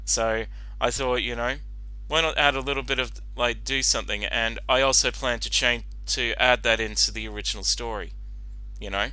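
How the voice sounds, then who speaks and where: A man giving casual reaction commentary as host, talking in a halting, rambling way with lots of filler phrases. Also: medium pitch, fairly even intonation, bright, forceful tone, clear, resonant tone, no room sound, poor audio. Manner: halting, rambling, frequent "you know". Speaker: host giving commentary on a fan-fiction reading.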